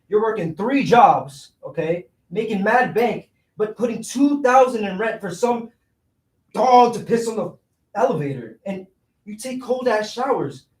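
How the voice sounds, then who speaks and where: High-voiced streetwise hustler played by a man. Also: a little mellow. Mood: bitter, venting. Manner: slangy, fast-talking persuader with streetwise phrasing. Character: scheming, cash-strapped young city man, streetwise persuasive hustler. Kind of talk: staged play reading, slangy dialogue. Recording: roomy echo, distant microphone.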